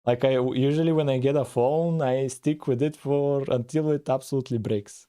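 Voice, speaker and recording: Male streamer, laid-back, mid-pitched, soft dark voice. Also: moderate pace, smooth. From Bulgaria. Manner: relaxed, thinking aloud with frequent "uh". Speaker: live-streaming game developer. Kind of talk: casual live coding stream with chat.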